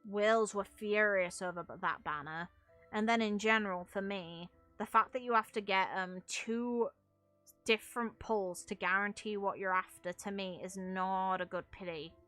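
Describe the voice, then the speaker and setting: Bright-voiced woman ranting casually over music. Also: medium pitch, steady tone, moderate pace. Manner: blunt, emphatic, sweary. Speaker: game streamer and video creator. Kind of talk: casual gaming commentary, opinionated rant.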